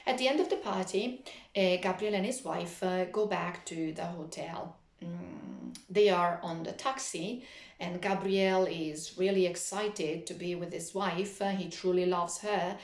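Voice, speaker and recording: A woman teaching literature in an explanatory lecture, speaking didactically with frequent hesitant pauses. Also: on the low side, echoey room.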